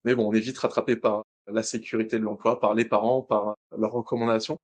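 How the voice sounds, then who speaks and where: A man in his late twenties with a French accent, a podcast guest telling his own story in a relaxed way, often restarting his sentences. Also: on the low side, brisk. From France, born in Madagascar. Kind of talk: interview.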